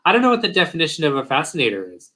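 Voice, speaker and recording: A man co-hosting a casual review chat, earnest and halting, losing his voice. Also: fairly high pitch, huge pitch swings, pushed and bright. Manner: earnest, halting, many "um" and "uh" restarts. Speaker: podcast co-host.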